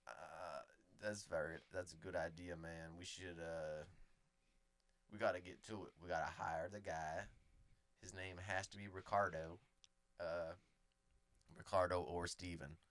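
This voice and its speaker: A man co-hosting a comedy podcast, rambling through absurd improvised bits in a deadpan way. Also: low-pitched, a little slow, no echo. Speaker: podcast co-host.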